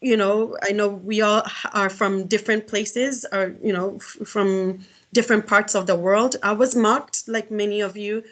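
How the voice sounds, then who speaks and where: A woman's voice: medium pitch, fairly even intonation, moderate pace, fairly bright tone. A middle-aged woman from Kenya with a Kenyan accent, giving an earnest online talk over a video call, often restarting her sentences. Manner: earnest, many restarts and fillers. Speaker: guest speaker.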